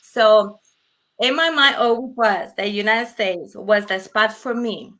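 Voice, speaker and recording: Female guest, native Spanish, Costa Rican accent; bright, forceful voice, animated casual storytelling with repeats for emphasis. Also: mid-range voice, unhurried. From Costa Rica. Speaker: podcast guest.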